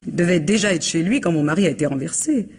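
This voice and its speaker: An actress playing a tartly defiant widowed bar owner in a French radio mystery, measured and evasive. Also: female voice, fairly low pitch, expressive, a little mellow, husky. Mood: defensive. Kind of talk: 1950s-style French radio mystery acting.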